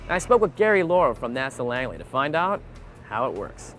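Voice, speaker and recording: A man's voice: medium pitch, very animated, a little fast, a bit bright, soft background music. A man hosting an educational TV segment, moving between scripted narration and casual interview questions. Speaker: TV host and interviewer.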